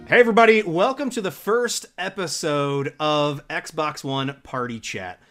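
A man's voice: a little high, unhurried, bright, forceful tone, soft background music. A man hosting a casual gaming podcast, upbeat and enthusiastic, a dad in his thirties. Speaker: podcast host.

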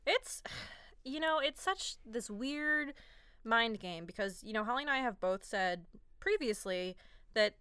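A woman's voice: a little high, expressive, unhurried, bright, forceful tone, smooth, no room sound. A woman co-hosting a podcast in a bubbly, giggly manner, with a youthful-sounding voice and casual chat. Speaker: podcast co-host.

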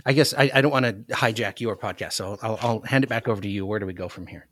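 A man's voice: lowish voice, fast talker, a bit bright. A man with an American accent, talking casually as a guest on a podcast. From United States, Alaska. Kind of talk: casual chat.

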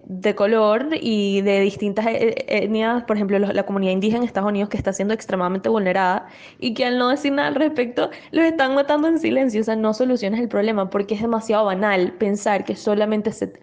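A young woman from Venezuela, talking in an earnest, animated way in a casual discussion, with quick restarts. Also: mid-range voice.

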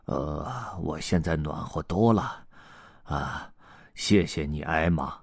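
A man's voice: slow, creaky, dry recording, clean studio sound, exaggerated voice. A man voicing a glum, shivering elephant in a storybook reading, mumbling wearily about the cold. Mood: weary. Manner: low, mumbling, weary.

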